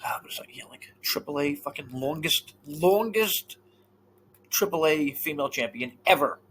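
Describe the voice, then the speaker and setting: A man co-hosting a casual podcast chat, animated and swearing freely, often trailing off mid-thought. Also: fairly high pitch, normal speed, slight background noise. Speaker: podcast co-host.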